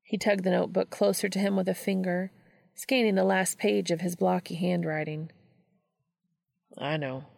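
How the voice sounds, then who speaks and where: Female story reader: lowish voice, heavy vocal fry, scripted narration, very dry close sound. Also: fairly even intonation, fairly quick, a little mellow, dry recording. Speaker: audiobook reader.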